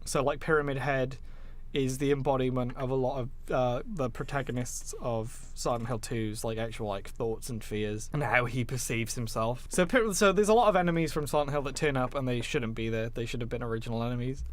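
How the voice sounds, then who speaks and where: A man's voice: medium pitch, brisk. A man co-hosting a podcast, talking casually and emphatically as an opinionated fan, with some swearing. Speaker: podcast co-host. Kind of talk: casual film discussion.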